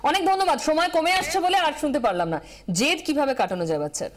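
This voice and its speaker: A woman with an Indian Bengali accent hosting a live TV talk show, brisk, stepping in and summing up. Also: sits high, lively intonation, a crowd in the background. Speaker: television host.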